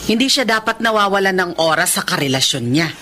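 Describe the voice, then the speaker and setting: A woman's voice: mid-range voice, expressive, fairly quick, noisy background, natural voice. An actress playing a concerned, protective middle-aged mother in a Filipino radio drama.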